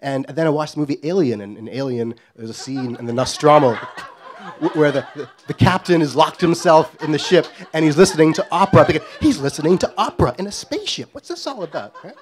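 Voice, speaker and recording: A man's voice: on the high side, expressive, fast talker. A middle-aged man from Guyana telling a comic story on stage in a wry, self-deprecating way. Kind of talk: live comedic storytelling.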